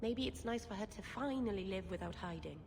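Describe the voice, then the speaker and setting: An actress playing a gentle, consoling female companion in a game, with a reassuring delivery. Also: medium pitch, expressive, brisk, background noise, lightly acted. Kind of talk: dramatic game dialogue. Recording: long hall-like reverberation, music behind.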